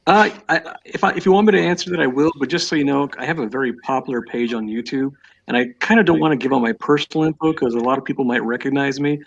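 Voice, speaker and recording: Fast-talking insistent man on a muffled call. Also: medium pitch, creaky. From United States. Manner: fast, insistent, repeats himself. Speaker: caller. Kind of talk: heated live-stream debate.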